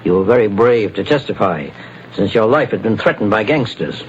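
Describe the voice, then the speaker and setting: An actor playing a calm, authoritative private investigator in a 1940s radio drama. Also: male voice, lowish voice, lightly acted. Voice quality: measured, authoritative. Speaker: detective lead. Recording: dull lo-fi old radio recording, faint hiss.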